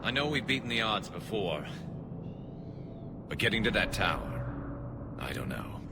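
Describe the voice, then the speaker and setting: Low-voiced grim soldier. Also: male voice, very animated, measured pace. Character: grave, doubtful soldier squadmate. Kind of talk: dramatic video game acting. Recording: loud music and engine noise behind.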